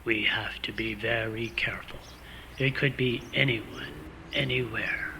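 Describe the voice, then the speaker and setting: A man's voice: medium pitch, steady tone, a little slow, vocal fry. A man playing a spy in a comedic radio play, on a noisy recording. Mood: stern, exasperated. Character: comic pair of male spies, one stern, one dim.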